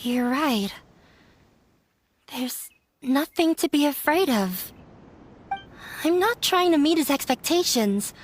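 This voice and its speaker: A proud, serious young woman from an anime-style game. She has a high voice and a firm, resolute delivery. Faint background ambience sits behind her earnest words. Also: a little hiss or hum.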